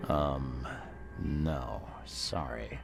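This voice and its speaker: A gruff, world-weary investigator in a video game, with an extremely low voice. He talks tersely and calmly, hesitating now and then, while music plays clearly behind him. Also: extremely slow, full character voice. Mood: measured, questioning. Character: gruff, weary, plain-spoken male investigator, calm and fair-minded. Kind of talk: video game cutscene dialogue.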